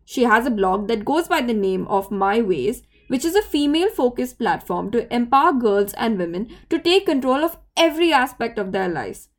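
Podcast host: woman, fairly high voice, reads a prepared intro. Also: lively intonation, moderate pace, few pauses. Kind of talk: scripted intro, then interview.

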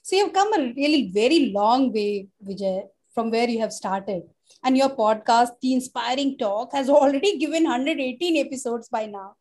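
High-voiced woman interviewer. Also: expressive, normal speed, a little mellow. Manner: reads long, formal questions. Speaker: podcast interviewer. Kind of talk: prepared interview questions.

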